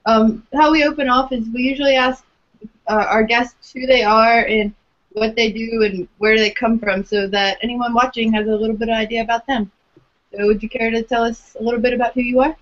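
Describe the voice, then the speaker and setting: A young woman co-hosting a podcast interview on a video call, asking questions in a relaxed way. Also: a little high, a little fast. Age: young adult. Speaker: podcast co-host. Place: small room indoors. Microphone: webcam on video call. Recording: dull lo-fi webcam audio.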